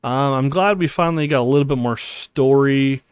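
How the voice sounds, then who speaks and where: A man's voice: mid-range voice, normal speed, clean tone, no echo. A man hosting a let's play, reading a visual novel aloud with casual commentary.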